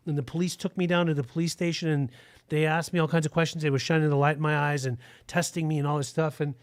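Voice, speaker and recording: A man who was born in Germany and raised in Utah, telling his recovery story on a video call with an earnest, halting delivery. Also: fairly high pitch, little pitch movement, clear, resonant tone. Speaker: guest sharing recovery story. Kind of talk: personal testimony.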